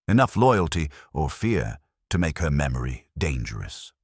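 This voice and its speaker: A man reading a scripted mystery story as narrator, in a measured, deliberate literary manner. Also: rumbling low, sing-song, husky, dry recording, studio quality.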